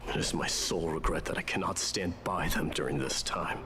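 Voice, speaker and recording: A man voicing a stoic, proud warrior prince in a video game, speaking in a solemn, formal and measured way. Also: very deep voice, background noise. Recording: music behind the voice.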